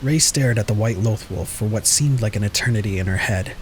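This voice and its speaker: A man's voice: on the low side, a little fast, slight background noise, rough recording. A man reading scripted dramatic narration as the narrator of an audio story.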